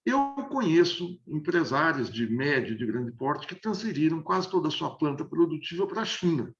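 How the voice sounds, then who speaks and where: Older sao guy explaining stuff, keeps restarting words, kinda highish voice, muffled audio. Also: big pitch swings, normal speed, roomy sound, music in the background. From interior of São Paulo state, Brazil. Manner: hesitant, many repeated word restarts. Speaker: interview guest. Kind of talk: explanatory conversational analysis. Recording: dull lo-fi audio.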